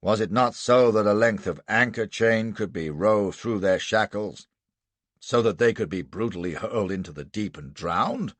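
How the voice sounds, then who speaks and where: A man's voice: fairly low pitch, no echo, close to an everyday voice. A man voicing an aggressive prosecuting lawyer who drags out his pointed questions. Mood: hectoring. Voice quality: hectoring, forceful, drawn-out questioning.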